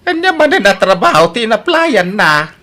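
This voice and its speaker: A man acting an older, plain-spoken father-in-law in an audio drama, with an Ilocano accent and drawn-out, interjection-filled speech. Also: high voice, sing-song, bright, forceful tone, exaggerated voice. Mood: sleepy, grumbling.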